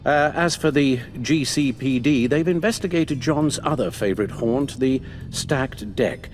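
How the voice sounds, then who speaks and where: An actor playing an elderly British butler and mentor in a video game, caring and worried, with formal, measured, genteel phrasing. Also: male voice, medium pitch, close to an everyday voice. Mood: matter-of-fact. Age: older. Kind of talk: dramatic video game voice acting. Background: background music.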